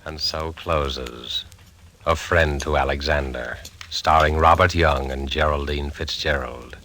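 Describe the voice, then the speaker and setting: A man narrating a 1940s radio suspense series in a solemn, measured, theatrical and formal style. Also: extremely low pitch, steady tone, noisy background. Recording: old radio recording, prominent music.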